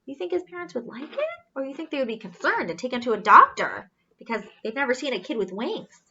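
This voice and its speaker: High, animated woman reading a storybook. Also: breathy edge, highs cut off. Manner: expressive, questioning, with gasps and drawn-out emphasis. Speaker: children's storybook reader. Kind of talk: animated read-aloud with simple asides to children.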